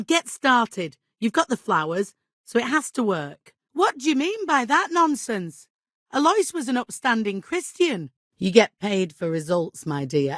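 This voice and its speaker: An actress playing a middle-aged medieval village widow in a video game, devout and guilt-ridden, with an exasperated, imperious and plaintive manner. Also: female voice, lowish voice, fairly bright tone, dry recording, studio quality. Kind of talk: dramatic video game dialogue acting.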